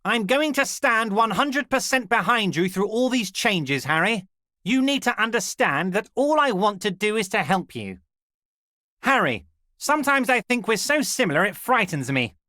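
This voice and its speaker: An actor playing a scheming middle-aged union boss who flatters in a smug, drawn-out way, in a video game. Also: male voice, high-pitched, dry recording, studio quality, exaggerated voice. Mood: oily flattering. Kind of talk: video game character dialogue.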